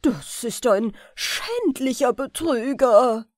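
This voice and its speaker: A woman's voice: on the high side, animated, slow, no room sound, studio quality. A woman voicing a proud, stubborn king in a fairy tale, with emphatic, imperious delivery. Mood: indignant.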